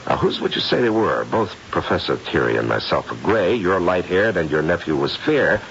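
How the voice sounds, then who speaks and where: An actor playing a methodical, confident psychic detective in a 1970s radio drama, speaking deliberately and theatrically with probing pauses. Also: male voice, sits low, animated, vocal fry, a bit bright, exaggerated voice. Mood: probing, brisk. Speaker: narrator and lead character. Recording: muffled vintage radio broadcast, faint noise.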